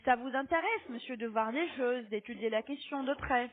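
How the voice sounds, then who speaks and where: A woman making a phone sales pitch as an appointment-booking agent, polite but insistent, often ending on a checking question. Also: moderate pace, a crowd in the background. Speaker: telemarketing agent booking health insurance appointments. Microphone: telephone. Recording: lo-fi muffled phone call.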